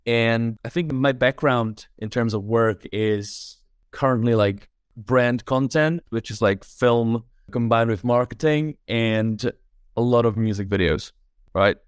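A man's voice: mid-range voice, slow pace, smooth, no echo, clean studio sound. A young Czech man in his twenties, a filmmaker guest chatting casually and thoughtfully on a podcast, often adding "like" and "right?". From Czech Republic. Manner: relaxed, thoughtful, many fillers like "like" and "right?". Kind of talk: casual interview chat.

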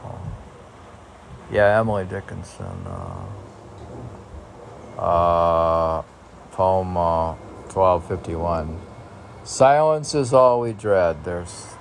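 A man reading poems aloud informally as a poet, with halting, musing asides between the lines. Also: low-pitched, big pitch swings, gravelly, a little hiss or hum.

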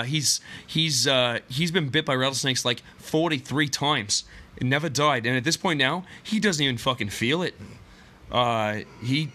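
Man in a put-on Aussie accent, bright tone. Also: mid-range voice. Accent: put-on Australian. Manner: rambling, joking with filler restarts. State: has a cough. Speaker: podcast co-host. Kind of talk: comedic podcast banter.

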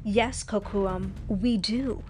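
A woman's voice: medium pitch, slow, a little mellow, lightly acted. Young village heroine voiced by a female narrator: proud, assured, encouraging storybook read, faint noise. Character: confident, encouraging, loyal young village woman.

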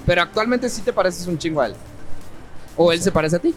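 A young man with a Mexican accent co-hosts a casual podcast, and his voice sits high. He teases and jokes in quick, slangy banter, with faint music playing underneath. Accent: Mexican Spanish. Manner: teasing, joking, lively banter with slang. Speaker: podcast co-host. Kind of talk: casual chat.

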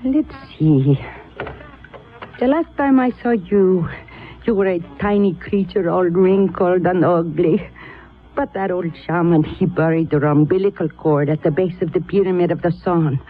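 Older sorceress aunt, portentous and commanding, radio drama, narrowband vintage broadcast. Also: female voice, lowish voice, a little slow. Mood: solemn, fateful. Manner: solemn, portentous. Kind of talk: 1970s radio drama acting.

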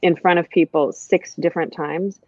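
An American woman speaks as a guest, thoughtful and halting, full of restarts as she searches for words. Her voice sits a little low. The recording is dry and close but somewhat dull, like a muffled audio track. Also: fairly even intonation, a little mellow, no echo. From United States. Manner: reflective, hesitant with many fillers. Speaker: guest, writer. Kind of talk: casual conversation.

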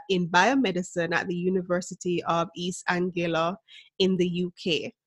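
Female podcast host, slow and warmly enthusiastic, dry studio sound. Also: medium pitch, no echo. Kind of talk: scripted intro, then interview questions.

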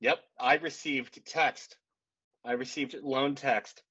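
A man's voice: mid-range voice, fairly even intonation, slow pace, sharp tone, slightly rough. A man of thirty co-hosting a podcast, with dry, self-deprecating and crude humor. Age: 30s. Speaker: podcast co-host. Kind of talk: casual banter. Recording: dull lo-fi podcast audio.

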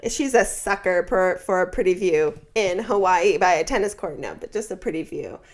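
A woman's voice: on the low side, expressive, moderate pace. A woman co-hosting a podcast, reading contestant bios aloud in a chatty, wry way and laughing often. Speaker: podcast co-host. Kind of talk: casual chat reading cast bios.

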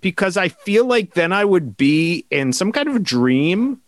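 A man's voice: high-pitched, sing-song, no room sound. A man co-hosting a podcast, rambling and joking in casual chat, stretching words out for comic emphasis. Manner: playful, rambling, drawn-out jokey emphasis. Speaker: podcast co-host.

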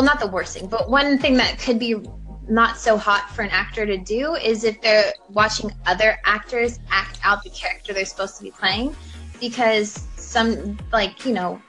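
A woman's voice: a little high, fairly bright tone, poor audio. A young woman voice actor chatting casually in an interview, giggling often and filling her talk with likes and ums. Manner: chatty, giggly, many fillers. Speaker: voice actor guest.

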